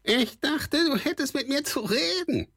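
A squawking spiteful goblin. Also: male voice, extremely high pitch, expressive, a little slow, fairly bright tone, voice pushed up, heavily acted. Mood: sly. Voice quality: squawky, nasal. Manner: mocking, gloating. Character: sly squawking male goblin, small and spiteful. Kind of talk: audiobook character voice.

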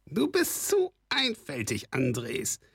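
A man's voice: a little high, huge pitch swings, slow pace, creaky, fairly bright tone, breathy edge, pitched above the performer's own voice, heavily acted. A man voicing a sly, spiteful little male goblin with a squawking, nasal voice, mocking as he talks. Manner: mocking, gloating. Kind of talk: audiobook character voice.

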